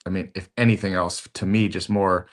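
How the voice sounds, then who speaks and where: A middle-aged man co-hosting a podcast in casual chat, talking in halting bits with lots of fillers and restarts. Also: sits low, little pitch movement. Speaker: podcast co-host.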